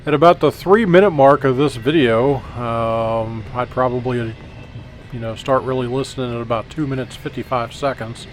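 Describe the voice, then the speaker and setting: A man gives a casual, conversational video narration as a channel host. His voice sits at a medium pitch, with some outdoor traffic-like noise faintly behind it. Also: moderate pace.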